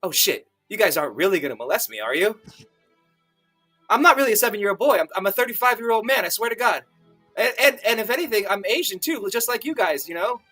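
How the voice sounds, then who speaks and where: A man's voice: a little high, big pitch swings, fast, hardly any pauses, sharp tone, husky, soft background music, exaggerated voice. A man playing a small, boyish adult adventurer, indignant and panicky, who rambles and protests crudely. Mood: panicked.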